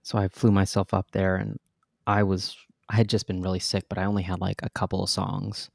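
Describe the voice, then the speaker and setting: A man's voice: deep voice, completely flat delivery, a little fast, gravelly, a little mellow, no echo, clean studio sound. A man in his thirties, a guest actor, telling a long story in a casual interview, rambling and restarting, laughing at himself.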